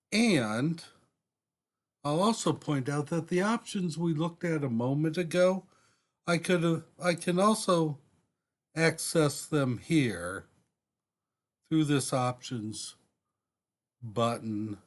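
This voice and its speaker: A man presenting a step-by-step software demonstration in an unscripted, deliberate way, often pausing mid-sentence. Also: a little high.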